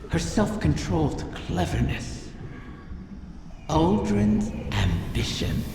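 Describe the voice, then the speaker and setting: A woman voicing an ancient, cunning, predatory creature in a video game, musing in a sly, deliberate way. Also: low voice, gravelly, full character voice. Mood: sly admiration. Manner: slow, deliberate, musing delivery. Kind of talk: scripted video game monologue. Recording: cavernous long echo, music underneath.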